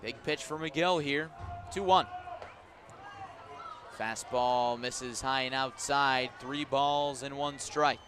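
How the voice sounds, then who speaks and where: A man doing live radio play-by-play of a baseball game, descriptive and unhurried between pitches and punchier on the action. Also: fairly even intonation, a bit bright, faint music behind. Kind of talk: live radio sports commentary.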